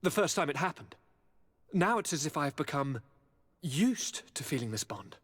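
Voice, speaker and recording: Theatrical young nobleman with sweeping pitch. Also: moderate pace, slightly rough, full character voice. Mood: wistful, dreamy. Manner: courtly, theatrical, expressive. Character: charming, theatrical young nobleman governor, eager and affectionate. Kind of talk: dramatic video game voice acting. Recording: reverberant hall, music underneath.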